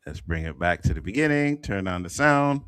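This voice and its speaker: Joking male host, bright tone, dry mic. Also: low voice, lively intonation, fairly quick, clean tone, no room sound. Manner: loose, swearing, joking. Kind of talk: casual podcast chat.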